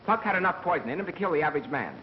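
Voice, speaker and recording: An actor playing a quick, defiant radio engineer in a 1930s mystery film, with brisk, assertive delivery. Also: male voice, on the high side, animated, lightly acted. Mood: firm, challenging. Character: quick, defiant male radio engineer standing up to his bosses. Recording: muffled, noisy 1930s film soundtrack.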